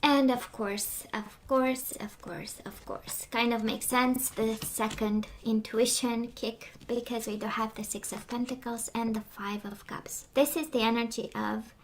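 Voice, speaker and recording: Higher-voiced woman losing her voice. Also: steady tone, unhurried, hardly any pauses, a little hiss or hum. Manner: rambling, many fillers, drops into whispers. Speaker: tarot reader. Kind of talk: casual spoken tarot reading.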